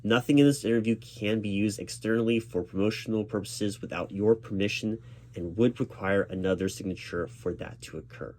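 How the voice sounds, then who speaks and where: A man's voice: lowish voice, a little mellow, slight background noise. A man performing a one-sided spoken ASMR roleplay as a recruiting manager, reassuring and patient, with formal corporate phrasing.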